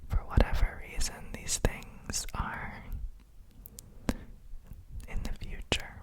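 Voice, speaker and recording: Female ASMR guide: all whisper, extremely slow and unhurried, breathy and soothing, for sleep relaxation. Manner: gentle, soothing, unhurried with frequent pauses. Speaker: ASMR host guiding relaxation.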